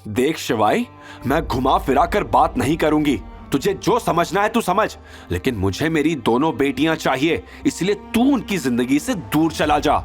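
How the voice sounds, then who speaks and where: Man playing a domineering bitter mother, raised pitch. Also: sing-song, moderate pace, soft background music. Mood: demanding. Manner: scornful, demanding. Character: bitter, domineering middle-aged mother, scheming and irritable.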